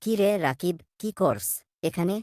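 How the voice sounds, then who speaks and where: A low, dry voice for a curious cartoon boy, played by a woman. He questions his friend casually and sceptically, over faint music. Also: lively intonation, a little slow, darkish tone, no echo. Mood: challenging. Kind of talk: Bengali cartoon dialogue.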